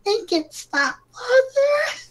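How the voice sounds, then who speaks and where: Man putting on a very high pleading princess. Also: big pitch swings, slow pace, a bit bright, full character voice, low-quality recording. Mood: tearful pleading. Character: tearful young princess pleading with her father.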